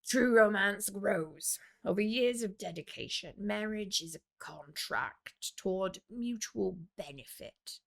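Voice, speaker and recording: Audiobook role: dangerous, cunning male fighter played by a woman narrator, slow, menacing, creaky low-key delivery. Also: lively intonation. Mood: confident courting. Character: predatory, cunning male guild champion, slyly courting.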